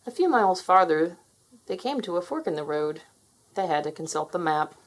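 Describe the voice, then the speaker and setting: A woman's voice: on the low side, lively intonation, moderate pace. A woman narrating a children's story, reading aloud expressively.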